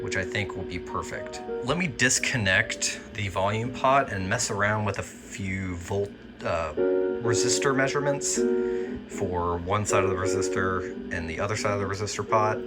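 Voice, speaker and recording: A man giving casual, informal commentary on a repair as a radio restorer, thinking aloud as he works. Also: deep voice.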